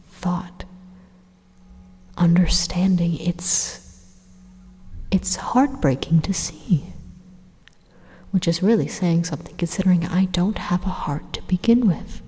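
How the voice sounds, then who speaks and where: A woman acts out a rogue supercomputer for an ASMR roleplay, coolly menacing and persuasive. The voice is dark, soft and somewhat low, with a breathy whisper to it. It unfolds its monologue slowly and deliberately, pausing for drama. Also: heavily acted. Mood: grave, persuasive. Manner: slow, deliberate, hushed monologue with dramatic pauses. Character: evil supercomputer machine, calmly menacing, persuasive would-be savior. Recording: long hollow reverb on the voice.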